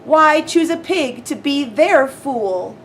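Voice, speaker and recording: High-pitched woman reading a storybook. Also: lively intonation, takes it slowly, slight background noise. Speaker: school staff member reading aloud.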